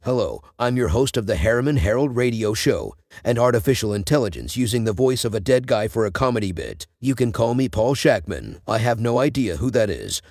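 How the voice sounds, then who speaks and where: A man playing a deadpan, self-mocking artificial-intelligence host of a spoof radio news show. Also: medium pitch, dry recording, studio quality. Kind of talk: mock radio news anchoring.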